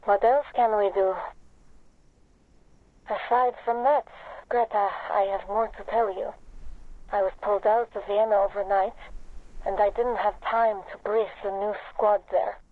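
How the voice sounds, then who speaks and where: A woman's voice: medium pitch, fairly even intonation, unhurried, pauses often, no room sound. An actress playing an easygoing female field agent over a radio link in a video game, casual in manner. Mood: easygoing, candid. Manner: lighthearted, casual. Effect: radio or telephone filter. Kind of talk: video game character acting. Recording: thin, dry phone-like radio line.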